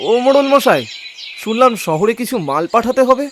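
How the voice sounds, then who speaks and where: A man voicing a poor, honest male cart-puller in an animated storybook, pleading and sorrowful. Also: very high-pitched, a little fast, noisy background. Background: background music.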